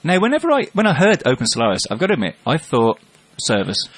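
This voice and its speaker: A man co-hosting a podcast, cracking jokes and throwing in quick interjections. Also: mid-range voice, wildly swooping pitch, fairly bright tone, breathy edge, dry recording. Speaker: podcast co-host. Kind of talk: casual banter.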